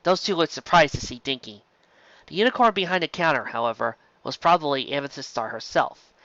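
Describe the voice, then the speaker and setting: Story reader for audiobook fiction. Lively, swooping pitch, dry lo-fi sound. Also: fairly quick, dry recording. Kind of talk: scripted narration, reading a story aloud.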